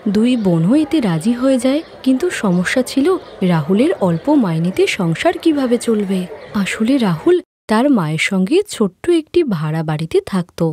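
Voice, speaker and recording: A woman's voice: medium pitch, a little mellow. A woman narrating a scripted moral story as a storyteller.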